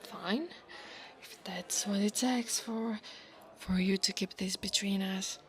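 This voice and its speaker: A woman voicing an insecure young dragon girl in an ASMR roleplay, haughty and defensive, stammering when flustered and often dropping toward a breathy whisper. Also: mid-range voice, fairly even intonation, slow pace. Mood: flustered, threatening. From Italy. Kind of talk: scripted ASMR monster roleplay, acting one side of a conversation.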